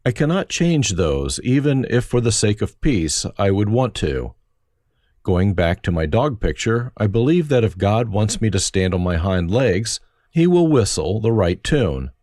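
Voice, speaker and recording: Man, low voice, lively pitch. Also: a little slow, dry recording. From Germany. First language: German. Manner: dry, self-deprecating humor. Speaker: autobiography narrator. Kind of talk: reflective first-person memoir reading.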